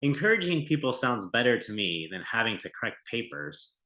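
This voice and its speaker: A man's voice: medium pitch, big pitch swings, fairly quick, sharp tone. A man asking curious, friendly questions about a teaching program in a scripted interview. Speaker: prospective volunteer. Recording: roomy echo.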